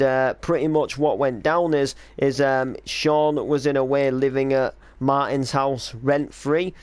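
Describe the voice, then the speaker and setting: A man's voice: medium pitch, clear, resonant tone, no room sound. A man reminiscing casually on a stream as the creator of an online drama, explaining storylines in an informal, rambling way.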